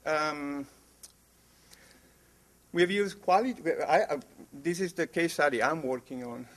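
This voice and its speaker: Halting Italian-accented man presenting research. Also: a little high. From Italy. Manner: halting, many fillers and restarts. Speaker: conference presenter. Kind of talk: academic presentation.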